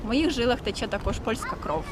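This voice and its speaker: A woman from Ukraine giving a quick, matter-of-fact self-introduction in a street interview. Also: fairly high pitch, animated, noisy background. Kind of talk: short street interview answer.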